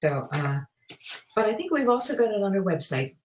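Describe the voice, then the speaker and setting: An older woman in her late seventies talks through recipes and classes, stopping often to find words. Her voice sits at a middle pitch. The sound is roomy and a bit muffled. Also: very animated, brisk. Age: 70s. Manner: warm, chatty, many fillers and restarts. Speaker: guest, vegan cookbook author and cooking teacher. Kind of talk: casual interview chat.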